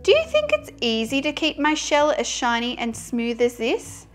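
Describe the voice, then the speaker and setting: A woman's voice: sits high, wildly swooping pitch, moderate pace, faint music behind. A woman voicing a vain, chatty, melodramatic male tortoise in a storybook tale, with a wheedling manner. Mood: boastful. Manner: talkative, wheedling, dramatic.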